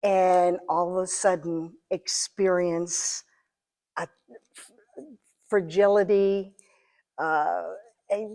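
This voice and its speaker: A woman giving conversational interview answers as a guest, thoughtful and halting as she pieces her phrases together. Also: on the low side, steady tone, a little mellow. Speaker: interview guest, amateur stage actor.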